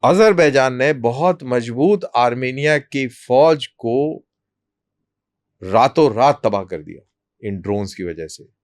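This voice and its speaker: Clear-voiced man explaining deliberately. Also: mid-range voice, lively intonation, no echo. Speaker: army colonel, interview guest. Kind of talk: explanatory interview talk.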